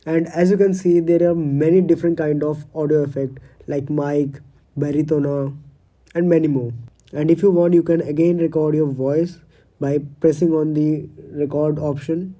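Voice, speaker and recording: A man's voice: on the high side, steady tone, normal speed, dark tone. A man narrating a scripted step-by-step tutorial as its host.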